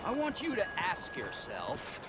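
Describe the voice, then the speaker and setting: Indignant bigoted pamphleteer, video game. High, swooping, theatrical soapbox delivery, street noise behind. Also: male voice, huge pitch swings, measured pace, heavily acted. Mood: fervent, preachy. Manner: pompous, theatrical soapbox oratory. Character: zealous bigoted male street preacher handing out pamphlets, indignant.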